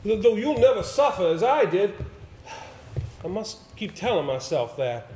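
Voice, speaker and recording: A troubled, formal gentleman, played by a man, high in pitch and uneasy. It is live stage acting in an echoey hall with a little noise. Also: big pitch swings, unhurried. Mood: anxious, sombre. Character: haunted, anxious, formal gentleman, reluctant amateur performer. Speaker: amateur stage actor. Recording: echoey hall, stage play recording with hiss.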